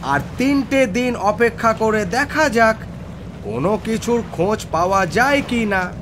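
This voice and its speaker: An actor playing a resolute, cautious ship's captain in an audio drama, with a measured and authoritative delivery. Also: male voice, high-pitched, expressive, background noise. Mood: grave, resolved. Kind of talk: Bengali audio drama acting.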